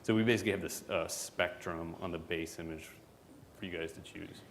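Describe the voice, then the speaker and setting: A man giving a relaxed, joking conference talk as a product manager, full of fillers. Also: deep voice, a little fast. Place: conference hall. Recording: hall reverb, faint background noise.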